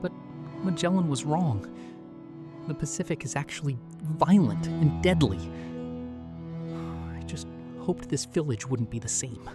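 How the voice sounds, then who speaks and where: A woman narrating a first-person horror story, frightened and at times breathy. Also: low-pitched, animated, measured pace, long pauses, over music.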